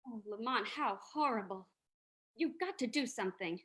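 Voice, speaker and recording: A woman's voice: a little high, lively intonation, moderate pace, fairly bright tone. A woman playing an earnest, anxious young lady who assists a sleuth in an old-time radio mystery. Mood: anxious, attentive.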